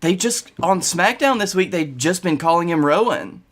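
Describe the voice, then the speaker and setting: A man's voice: high voice, animated, moderate pace. A young man co-hosting a wrestling podcast, chatting casually and playfully. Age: young adult. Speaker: podcast co-host. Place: bedroom at home, on a video call.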